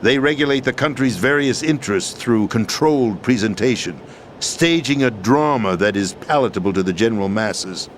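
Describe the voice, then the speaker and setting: An actor playing a disillusioned middle-aged American president in a video game, speaking in a grave, deliberate, theatrical way. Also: male voice, mid-range voice, lively intonation, slight background noise, lightly acted. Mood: urgent, grave. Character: disillusioned, bitter middle-aged US president, power-hungry and weary. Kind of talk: dramatic video game voice acting. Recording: music behind the voice.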